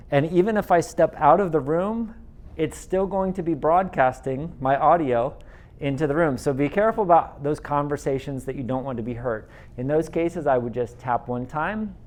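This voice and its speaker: A man with a slightly higher voice explains classroom technology to teachers in an easy, conversational way. He speaks through a pendant microphone in a classroom. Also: darkish tone. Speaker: instructional technology trainer. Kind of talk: training presentation. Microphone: teardrop pendant microphone.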